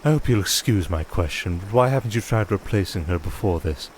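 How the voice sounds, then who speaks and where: A man playing a commanding, composed vampire archduke in a fantasy audio roleplay, speaking in a formal, measured, dryly teasing way. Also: sits low, expressive, a little hiss or hum. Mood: calculating, composed.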